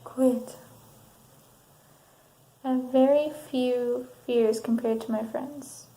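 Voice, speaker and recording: Female ASMR counselor role, higher-pitched yet mellow, unhurried, soft-spoken delivery. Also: fairly even intonation. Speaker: ASMR performer roleplaying a counselor. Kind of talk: soft-spoken ASMR roleplay, reading assessment statements. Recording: roomy sound, faint background noise.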